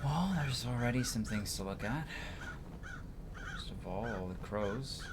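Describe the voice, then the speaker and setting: A man's voice: mid-range voice, big pitch swings, a little slow, heavy background noise. A man doing livestream game commentary, switching between casual asides and theatrical reading of on-screen story text. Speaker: livestream gamer.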